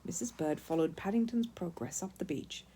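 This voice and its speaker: Animated, mellow woman reading a children's story. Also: lowish voice, normal speed, a little hiss or hum. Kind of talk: expressive scripted children's story narration.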